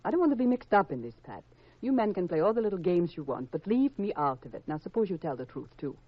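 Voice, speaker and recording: An actress playing a flirtatious, worldly and evasive femme fatale in a 1950s radio crime drama, coy and teasing, with deliberate hesitations. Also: female voice, on the low side, sing-song, no echo. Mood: defensive. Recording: dull vintage radio recording.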